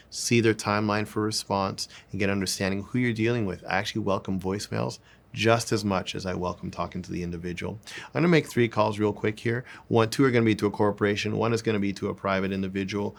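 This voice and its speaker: Guy teaching sales stuff, lowish voice, informal, repeats phrases to drive it home. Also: fairly even intonation, quick pace, creaky. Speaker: sales pitch coach. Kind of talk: informal instructional talk with demonstrations.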